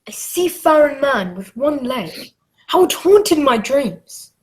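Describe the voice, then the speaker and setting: A woman narrating a radio drama as an earnest grown man recalling his boyhood adventure, in measured, dramatic storytelling. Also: high-pitched, very animated, a little mellow, exaggerated voice. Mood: haunted.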